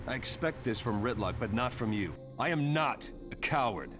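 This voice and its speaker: An actor playing a proud, offended warrior in a video game cutscene, stern and indignant. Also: male voice, mid-range voice, lively intonation, normal speed, close to an everyday voice. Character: proud, offended male warrior quitting his companions. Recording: game audio under loud music.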